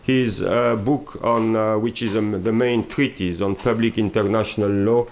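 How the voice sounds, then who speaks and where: A man with a fairly low voice moderates an academic symposium and introduces a guest lecturer. His delivery is formal but halting, with frequent fillers between phrases. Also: fairly even intonation, darkish tone, a little hiss or hum.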